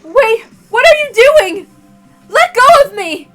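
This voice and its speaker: Woman as a high, strained, proud noblewoman. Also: very animated, a little slow, fairly bright tone, soft background music, heavily acted. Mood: panicked. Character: proud, panicked noblewoman, head of a family, standing accused. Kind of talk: dramatic visual novel character reading.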